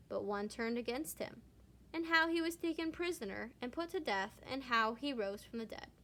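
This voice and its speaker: High-pitched woman reading a children's storybook. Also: fairly bright tone. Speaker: storybook reader. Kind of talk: children's read-aloud narration.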